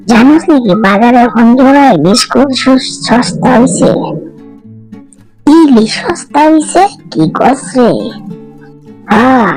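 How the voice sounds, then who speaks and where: A woman's voice: on the low side, creaky, a little mellow, low-quality recording. A woman voicing a chatty village friend in a cartoon, talking familiarly in a colloquial eastern Bengali dialect. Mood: excited greeting. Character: chatty village women friends swapping home recipes.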